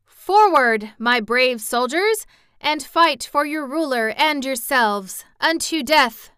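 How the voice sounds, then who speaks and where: Brief: woman doing a bold young queen, commanding and loud, bright tone. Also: high-pitched, animated, slow pace, no room sound, studio quality, pitched above the performer's own voice, exaggerated voice. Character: brave young girl ruler.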